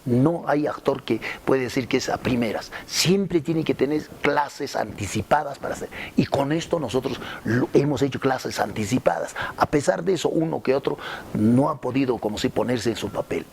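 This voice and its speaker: A man's voice: medium pitch, normal speed, creaky, darkish tone, slight background noise. A man with a Peruvian Spanish accent speaking expressively, as an acting coach giving an interview, often repeating himself for emphasis. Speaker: acting coach and radio drama actor. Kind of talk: interview testimony with acted demonstrations.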